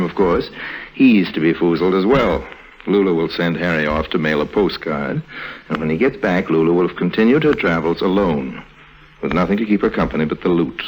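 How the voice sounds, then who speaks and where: A very low-pitched, worldly male con artist played by a radio actor speaks with sly, self-assured irony. He tells his story in an unhurried, wry way. It is a vintage radio drama recording with music playing clearly behind him. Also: close to an everyday voice, poor audio. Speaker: lead actor and narrator. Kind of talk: 1950s radio drama narration and dialogue.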